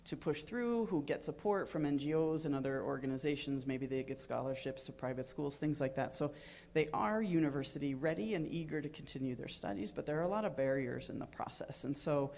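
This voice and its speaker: A woman's voice: deep voice, fairly quick, a little mellow, a little hiss or hum. A woman moderating a conference panel, hesitant and full of ums and restarts. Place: conference room with live and virtual audience.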